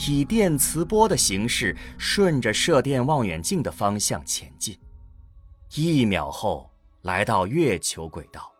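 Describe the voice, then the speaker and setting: Male narrator, husky, unhurried, varied intonation, scripted sci-fi audio drama, light music underneath. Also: on the low side, a bit bright, no echo.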